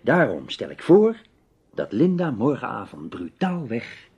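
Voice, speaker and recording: A shrewd, protective radio play hero. His husky voice moves up and down expressively as he speaks with deliberate emphasis. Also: medium pitch, huge pitch swings, a little mellow, heavily acted. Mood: shrewd, insistent. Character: shrewd, protective male adventurer, awkward with women. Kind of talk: radio drama acting.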